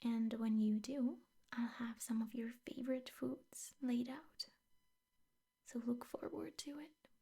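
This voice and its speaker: A young woman playing a possessive, sweetly menacing teacher girlfriend in an ASMR roleplay, speaking softly, intimately and often in whispers. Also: a little high, steady tone, full character voice. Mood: sweetly controlling. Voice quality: soft, breathy, often whispered. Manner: slow, intimate, coaxing with veiled threats.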